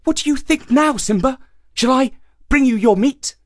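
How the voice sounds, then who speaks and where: A man voicing a sly, scheming male hare who talks in a high, sugary, courtly wheedle. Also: normal speed, no echo, clean studio sound. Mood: coaxing.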